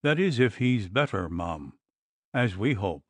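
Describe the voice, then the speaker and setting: A man doing a gruff old country doctor. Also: on the low side, very animated, a little slow, no echo. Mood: brusque. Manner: gruff, brusque.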